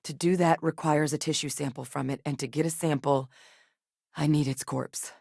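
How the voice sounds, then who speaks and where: A steady soldier-scientist. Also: female voice, low-pitched, vocal fry, no room sound. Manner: measured, sincere, soldierly. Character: seasoned female soldier-scientist, earnest and steady. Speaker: game character voice actor. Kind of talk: studio video game dialogue. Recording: dry studio recording.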